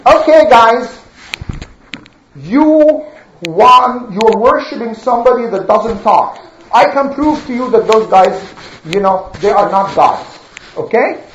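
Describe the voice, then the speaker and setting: Woman playing a young male doubter, bold, questioning, animated delivery, roomy and slightly dull recording. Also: on the high side, slow. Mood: bold, challenging. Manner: questioning, challenging. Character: curious, skeptical young boy prophet, bold and questioning. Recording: echoey room, dull sound, faint noise.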